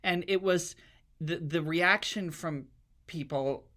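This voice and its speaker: A man's voice: fairly high pitch, takes it slowly, a bit bright. A man who writes and acts in plays, chatting casually as a podcast guest. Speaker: podcast guest, playwright and actor. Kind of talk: casual interview chat.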